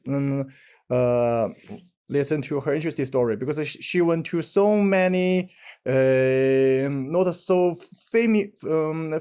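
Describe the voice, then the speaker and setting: Halting man searching for words. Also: on the high side, warm tone, clean tone. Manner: hesitant, halting, searches for words with many fillers. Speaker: channel host. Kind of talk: casual interview introduction. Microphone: video call.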